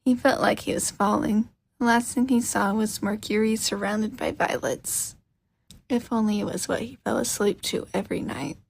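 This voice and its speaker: A woman reading scripted fiction as an expressive audiobook-style narrator. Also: mid-range voice, moderate pace, gravelly, husky, rough recording. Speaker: podfic narrator.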